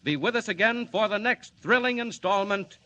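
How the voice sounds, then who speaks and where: A man's voice: high-pitched, moderate pace, pushed and bright, a little hiss or hum. A man announcing a 1940s radio serial with a dramatic, emphatic delivery. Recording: dull lo-fi old radio recording.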